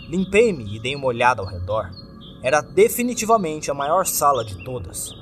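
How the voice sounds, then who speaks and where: A man's voice: medium pitch, a bit bright. A man with a Brazilian accent narrating a scripted first-person horror story in a dramatic, suspenseful way. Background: background music.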